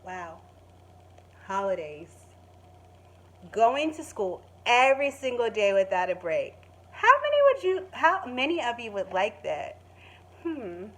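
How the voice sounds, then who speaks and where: A woman teacher reading a children's story expressively, stretching words for emphasis and pausing to put questions to the listeners. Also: fairly high pitch, very animated. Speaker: primary school teacher reading to pupils. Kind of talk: expressive children's story read-aloud with asides.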